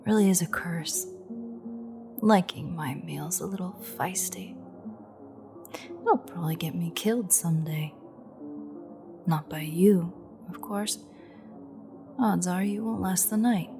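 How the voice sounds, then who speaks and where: A woman acting as a predatory female vampire speaks in a slow, taunting drawl. Her voice sits fairly low and is breathy with a creaky edge, often sinking toward a whisper, in the close, teasing manner of a roleplay audio drama. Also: music in the background. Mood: sardonic, fond. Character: menacing, teasing female vampire gloating over captive hunter.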